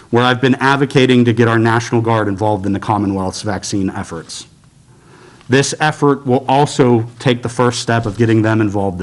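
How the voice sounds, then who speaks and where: An American man speaks at a medium pitch in a formal, earnest way. He delivers prepared remarks as a legislator would at a press briefing. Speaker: state legislator.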